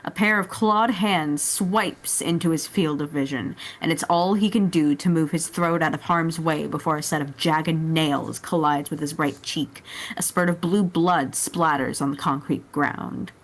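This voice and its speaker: A woman's voice: low-pitched, unhurried. A woman reading scripted action narration as a player in a dramatic story reading.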